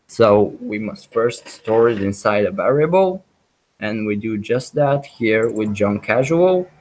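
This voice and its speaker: Slow, hesitant man teaching code. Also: fairly low pitch, animated, darkish tone, low-quality recording. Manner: hesitant, many ums and restarts. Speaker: programming webinar instructor. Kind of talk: live coding walkthrough.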